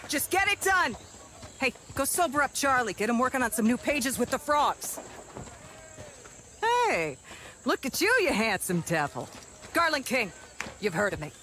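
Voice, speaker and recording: A woman playing a flamboyant, self-important film director in a video game, gushing, theatrical and bossy as she talks fast. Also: a little high, big pitch swings, bright, forceful tone, slight background noise, full character voice. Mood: brash, gushing. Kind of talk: video game cutscene acting.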